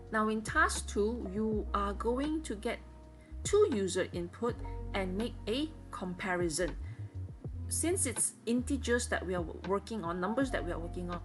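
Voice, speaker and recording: A woman teacher patiently walking students step by step through programming exercises in a screen-recorded lesson. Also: medium pitch.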